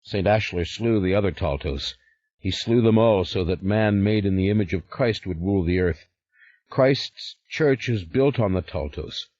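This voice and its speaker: A man's voice: deep voice, moderate pace, darkish tone, dry recording. A man voicing a stern, devout older father who speaks in a commanding, solemn way. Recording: slightly muffled recording.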